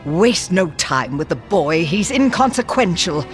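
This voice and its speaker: Haughty villainess, evil sorceress, disdainful and imperious, theatrically varied pitch. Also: female voice, very animated, measured pace, music in the background. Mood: cold disdain. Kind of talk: animated villain acting.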